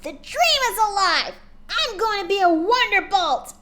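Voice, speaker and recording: A woman voices a bold, athletic young pegasus mare, loud and full of energy, her voice pitched high. She speaks with punchy force, over faint room noise. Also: big pitch swings, unhurried, heavily acted. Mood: excited. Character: brash sporty young female pegasus pony.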